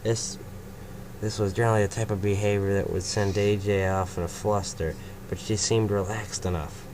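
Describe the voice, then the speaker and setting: Male story reader, casual, low-pitched, clear background hiss. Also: steady tone, normal speed. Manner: casual, breaks off to laugh and comment. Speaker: fanfiction reader. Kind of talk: first-person story reading.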